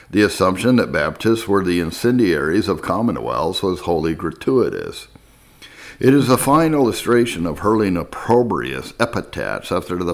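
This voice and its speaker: A man narrating a historical text in a measured, formal, scripted audiobook style. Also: sits low. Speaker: narrator reading historical texts.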